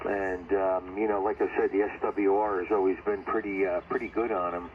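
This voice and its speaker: Low-voiced man on a noisy ham radio. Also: flat delivery, normal speed, phone-quality sound. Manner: rambling, conversational, repeats himself. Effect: shortwave radio. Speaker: amateur radio operator. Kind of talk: casual ham radio chat.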